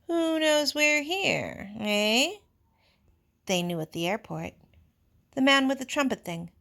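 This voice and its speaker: A woman's voice: high-pitched, big pitch swings, slow, gravelly, fairly bright tone, pitched above the performer's own voice, heavily acted. A woman voicing an anxious, bookish schoolboy in a storybook, scolding and pleading with emphasis. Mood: indignant. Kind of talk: storybook character voice.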